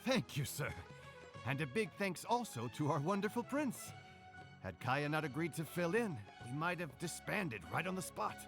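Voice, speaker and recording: Earnest, high-voiced young troupe actor. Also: male voice, very animated, moderate pace, a bit bright, faint music behind. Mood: apologetic, grateful. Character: earnest, anxious young man of a theater troupe. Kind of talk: animated fantasy video game dialogue.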